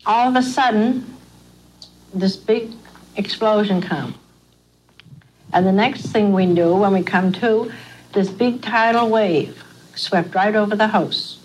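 Slow-speaking older woman recalling the past. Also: mid-range voice, a little mellow, a little hiss or hum, poor audio. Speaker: eyewitness survivor. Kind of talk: recounting a personal memory.

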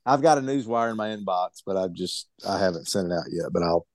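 Man, fairly deep, dry close sound, casual book group chat. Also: animated, quick pace, no echo.

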